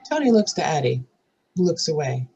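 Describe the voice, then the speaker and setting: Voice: medium pitch, sing-song, moderate pace, darkish tone, lo-fi sound, a little hiss or hum. A narrator reading screenplay stage directions and scene headings aloud at a scripted table read.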